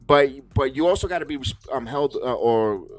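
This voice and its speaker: A man's voice: mid-range voice, expressive, moderate pace, soft background music. A man hosting a wrestling podcast, ranting heatedly with many stumbling restarts and curses. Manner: fiery, stumbling repeats, swears. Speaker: podcast host.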